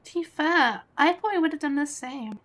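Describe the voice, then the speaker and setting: A woman's voice: high-pitched, expressive, a little fast, a bit bright. A woman streaming a game, giving casual, chatty commentary and musing on the story. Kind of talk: casual live gameplay commentary. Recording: game music behind.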